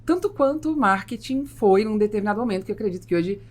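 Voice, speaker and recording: Brazilian woman podcast host, chatty interview style, mid pitch, animated storyteller. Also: quick pace. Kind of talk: conversational business podcast interview.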